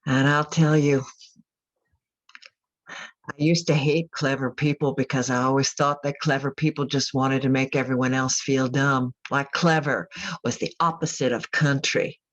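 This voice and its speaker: An actress playing a troubled, reflective country mother in a radio drama monologue, confiding thoughtfully with pauses. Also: very deep voice, level tone, muffled recording. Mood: gently confiding.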